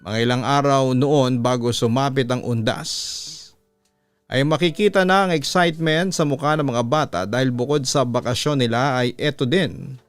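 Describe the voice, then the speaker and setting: A Filipino man, a radio announcer, narrating a listener's horror letter in a deliberate, dramatic storytelling style. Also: mid-range voice, no echo. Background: music bed.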